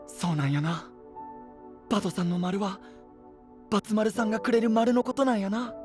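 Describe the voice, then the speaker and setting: A man's voice: high voice, animated, moderate pace, a little mellow, soft background music. A man playing an earnest, encouraging young knight in a voice drama, heartfelt and pleading, with an Oita dialect from western Japan. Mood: gentle, understanding. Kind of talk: anime-style voice drama acting.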